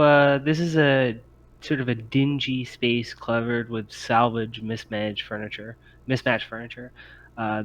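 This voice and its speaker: A man narrating a tabletop game as game master, describing scenes haltingly, piece by piece. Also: on the low side, a bit bright. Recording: noisy home voice-chat recording.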